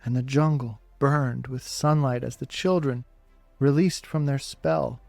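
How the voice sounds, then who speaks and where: Slow, dry-sounding male audiobook narrator. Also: medium pitch, gravelly, a little mellow, no echo, soft background music. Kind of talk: dramatic literary story narration.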